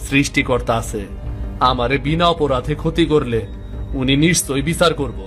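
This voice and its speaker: A man voicing an honest, gentle village hotel owner in a cartoon, earnest. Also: medium pitch, moderate pace, natural voice. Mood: earnest calm. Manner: earnest, plain-spoken. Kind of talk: cartoon story acting. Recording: background music under voice.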